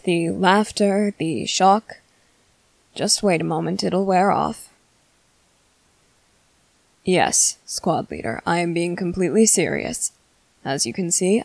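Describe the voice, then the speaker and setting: Woman as a slow, creaky-voiced vampire commander. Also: on the low side, a little mellow, no echo. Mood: calm, reassuring. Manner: formal, measured, deliberate, with dramatic pauses. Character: composed, menacing male military commander who is a vampire. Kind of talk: ASMR roleplay monologue to the listener.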